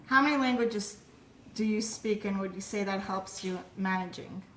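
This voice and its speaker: A woman interviewer asks her questions plainly and at a measured pace. Her voice sounds roomy and echoey, with faint noise behind it. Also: mid-range voice, fairly bright tone.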